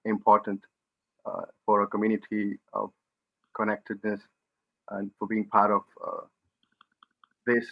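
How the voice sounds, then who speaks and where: Low, flat-voiced Kenyan man. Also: vocal fry, muffled recording. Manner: halting, searching for words, many restarts. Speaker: panelist, medical student. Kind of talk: unscripted panel answer.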